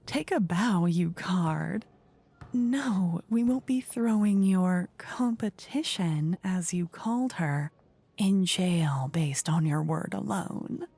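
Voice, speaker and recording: A woman's voice: medium pitch, creaky, husky, exaggerated voice. Woman playing a whispery, playful haughty queen. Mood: amused, regal. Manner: theatrical, teasing, soft-spoken with whispery phrases. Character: playful, haughty, easily bored queen of a tiny kingdom. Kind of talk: fantasy ASMR roleplay. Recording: close dry ASMR microphone.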